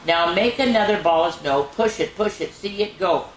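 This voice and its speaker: A woman hosting a children's story time, reading picture books aloud in an animated way with chatty, exclaiming asides. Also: lowish voice, moderate pace, slight background noise. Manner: animated, repeats phrases for emphasis, exclaims. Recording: roomy, echoing room sound.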